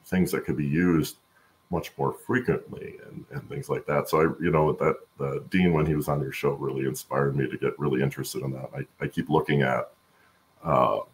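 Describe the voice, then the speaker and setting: Very deep, gravelly-voiced man. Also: steady tone, normal speed, a little hiss or hum. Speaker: guest, supply chain expert and voice-over announcer. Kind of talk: conversational interview answers. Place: home room, on a video call.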